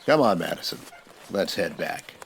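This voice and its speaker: An actor playing a weary, decent Old West marshal with a plainspoken, hesitant way in a radio western parody. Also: male voice, low voice, lively intonation, normal speed, creaky, a little hiss or hum. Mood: awkward, reluctant.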